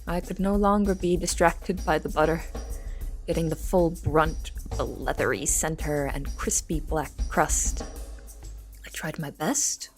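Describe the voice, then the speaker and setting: A woman playing a wry young cook who narrates her kitchen life in an expressive, storytelling way for an audio drama. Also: low-pitched, measured pace. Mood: wry, reflective. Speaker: narrator and lead character. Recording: music behind the voice.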